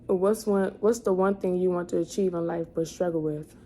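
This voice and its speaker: Low-voiced young woman, noisy recording. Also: normal speed, dark tone. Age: 20s. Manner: rambling, thinking aloud, often restarting and correcting herself. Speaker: vlogger. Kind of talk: casual chat, answering questions to camera. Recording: clearly noisy room ambience.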